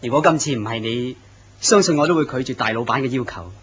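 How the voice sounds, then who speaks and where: An earnest, courteous office man, voiced by a man in an old-style horror radio play. His voice is mid-pitched and plain. The recording sounds dull and lo-fi. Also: fast talker, crowd noise, natural voice. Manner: courteous and earnest, turns startled when threatened. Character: polite, earnest office man, a deputy manager. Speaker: actor in a horror radio drama. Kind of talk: radio drama acting.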